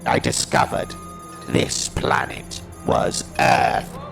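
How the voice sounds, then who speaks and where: A man playing a hateful, captive alien war machine in an audio drama, with a harsh mechanical voice and slow, ominous, deliberate delivery. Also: over music, close to an everyday voice. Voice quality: gravelly, harsh, mechanical monster voice.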